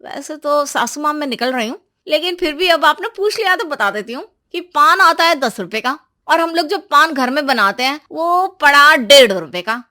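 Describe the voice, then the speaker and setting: A woman voicing a sassy, argumentative young daughter-in-law in an animated family comedy, talking back in a rapid, insistent way. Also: high-pitched. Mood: defiant.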